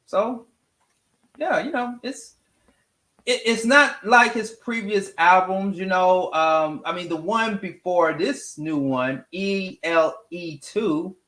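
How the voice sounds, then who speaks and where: Male show host, higher-pitched and expressive, casual music chat, emphatic repetition, echoey room. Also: takes it slowly. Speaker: radio morning show host.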